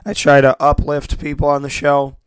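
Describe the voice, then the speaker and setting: Hoarse man hosting a sports radio show. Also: a little high, monotone, moderate pace, no room sound. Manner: earnest, repetitive, grateful, many restarts. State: losing his voice, hoarse. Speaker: sports talk radio host. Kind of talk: solo internet radio show monologue.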